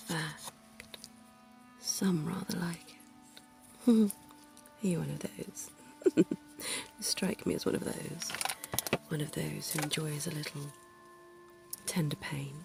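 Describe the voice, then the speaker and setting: A woman voicing a suave, centuries-old vampire dentist in an ASMR roleplay, whispering softly with genteel, teasing charm. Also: fairly low pitch, gravelly. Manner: soft whispering, slow and intimate; genteel and teasing, quiet chuckles.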